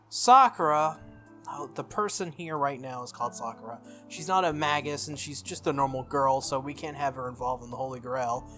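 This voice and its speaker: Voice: mid-range voice, fairly bright tone, lightly acted. A streamer voicing a flustered, earnest teenage boy, music behind. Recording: music under the voice.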